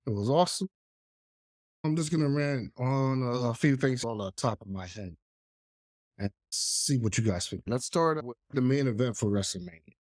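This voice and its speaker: A man's voice: medium pitch, normal speed, a little mellow, no echo, clean studio sound. A man with a cold and a nasal, stuffy voice, hosting a solo wrestling podcast with emphatic opinions. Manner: opinionated, emphatic, clears throat. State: congested, under the weather.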